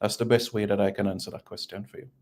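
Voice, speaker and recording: A man's voice: fairly low pitch, level tone, quick pace, a little mellow. A man from Jamaica, a regular football analyst on a talk show, answering in a firm, matter-of-fact way. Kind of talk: sports talk show discussion.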